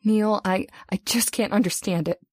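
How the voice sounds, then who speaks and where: A woman's voice: mid-range voice, fairly even intonation, normal speed, a little mellow, no room sound, faint music behind, natural voice. A woman playing an earnest young sweetheart, anguished and doubting, in old-time radio drama style. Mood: troubled, doubting.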